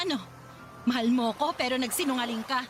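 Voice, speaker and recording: A woman's voice: high-pitched, brisk, over music, natural voice. An actress playing a hurt, indignant young Filipino mother who has been betrayed, accusing and emotional in a radio drama.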